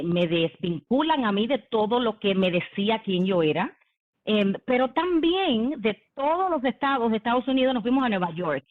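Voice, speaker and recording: A woman in her forties, from the Dominican Republic, telling her own story in a leadership talk on a video call, with many "em" and "eh" fillers. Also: mid-range voice. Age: 40s. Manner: warm conversational, frequent "em" and "eh" fillers. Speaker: company executive giving a leadership talk. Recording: phone-like online meeting audio.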